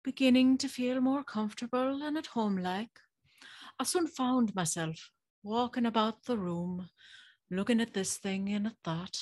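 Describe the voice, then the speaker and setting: A woman's voice: a little high, measured pace, a little mellow, no room sound. An actress playing a timid, curious, polite young servant girl in an audio drama, telling her story in a hesitant, wondering way. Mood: reflective, wondering. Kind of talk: audio drama acting with first-person storytelling.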